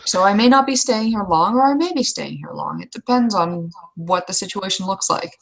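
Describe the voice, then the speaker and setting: A woman's voice: medium pitch, lively intonation, moderate pace. A woman playing a blunt veteran female troll knight in a tabletop roleplaying game, talking in a frank, folksy, matter-of-fact way.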